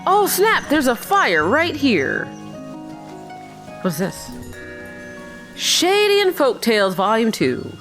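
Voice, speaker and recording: A woman's voice: sits high, huge pitch swings, slow pace, long pauses, over music. A woman hosting a game playthrough with casual commentary, playful, irreverent and chatty. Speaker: gamer host of a playthrough.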